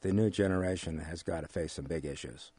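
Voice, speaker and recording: An older American man with a fairly low voice, recorded close and dry. He answers interview questions like a college teacher, choosing formal words deliberately and pausing to find them. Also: dark tone, slightly rough, no room sound. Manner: measured, deliberate, formal wording with hesitant pauses. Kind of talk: thoughtful studio interview. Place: radio studio.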